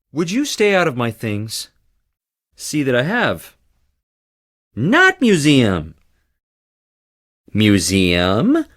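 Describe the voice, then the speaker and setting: A man's voice: a little high, fairly bright tone, full character voice. A man voicing a cheeky, theatrical young man in a video game, with a playful, teasing and dramatic delivery.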